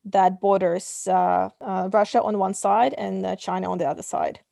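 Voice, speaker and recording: A young adult woman from Kazakhstan answers interview questions as a company head. She explains things step by step with plenty of ums and uhs. Her voice sits at a middle pitch and sounds dry and close, with no room echo. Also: fairly even intonation, moderate pace, vocal fry, mellow. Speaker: startup CEO guest.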